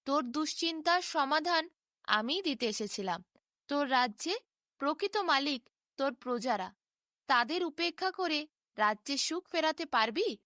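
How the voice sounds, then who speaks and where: A woman's voice: high-pitched, animated, measured pace, pushed and bright, no echo, studio quality, full character voice. A woman voicing a stern male holy hermit who admonishes and commands in a storybook tale. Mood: reproachful.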